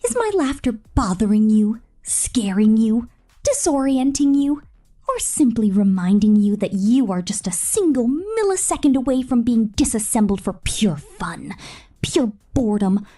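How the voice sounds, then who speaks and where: A woman voicing a sadistic, possessive killer robot in an ASMR roleplay, breathy and often whispering, with a menacing, taunting, drawn-out delivery. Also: fairly high pitch, animated, mellow. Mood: mocking. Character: sadistic, possessive female killer robot drone toying with prey.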